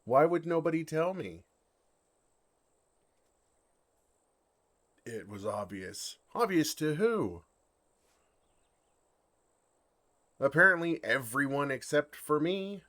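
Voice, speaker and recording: A man voicing an ancient, gentle and oblivious dragon in an ASMR roleplay, with a halting, bewildered and earnest delivery. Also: fairly high pitch, very animated. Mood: flustered disbelief.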